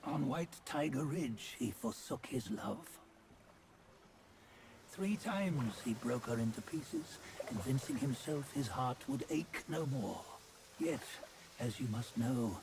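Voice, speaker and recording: Stern sage, video game cutscene, very slow, gravelly, sententious and archaic, judgmental. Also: male voice, mid-range voice, background noise. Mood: reproachful. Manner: measured, archaic, sententious phrasing. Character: stern, judgmental sage narrating old sins.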